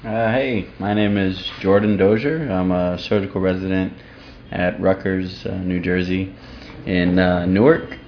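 A young man with a very low, flat voice answers questions about his hospital work. His speech is halting and laid-back, with frequent pauses, and a faint hum sits behind a dull, lo-fi recording. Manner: halting and laid-back, pausing often mid-sentence. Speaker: surgical resident. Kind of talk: interview answers. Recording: dull lo-fi sound with faint background noise.

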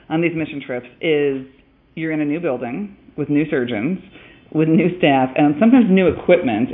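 A man's voice: a little high, slight background noise. A man hosting a podcast and interviewing his surgical team during a hospital mission, chatting in an upbeat, friendly way. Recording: dull lo-fi recording.

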